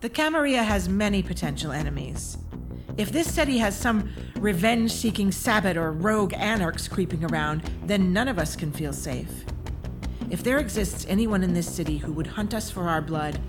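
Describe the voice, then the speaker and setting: An actress playing a haughty, disdainful aristocratic vampire in an audio drama, with formal, theatrical, snobbish speech. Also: female voice, medium pitch, animated, moderate pace, lightly acted. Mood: grave, haughty. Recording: music under the voice. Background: music.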